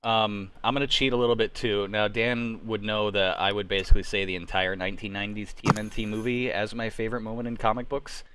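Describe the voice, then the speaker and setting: Man, low voice, casual hosting, enthusiastic, opinionated, conversational. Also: little pitch movement, fast talker, hardly any pauses, fairly bright tone, smooth. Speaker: livestream host. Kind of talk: casual chat about superhero movies. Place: remote group livestream.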